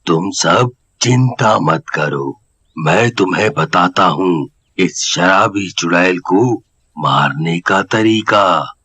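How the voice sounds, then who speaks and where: A man voicing a calm, reassuring village holy man in a cartoon story, measured and authoritative. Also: low-pitched, heavily acted.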